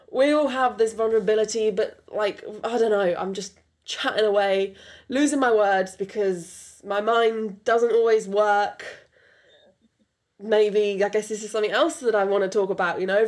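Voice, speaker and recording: Young British-accented woman, French native, podcast host; loose rambling delivery, mid pitch. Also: a little mellow. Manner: rambling, many fillers and restarts. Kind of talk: casual podcast chat.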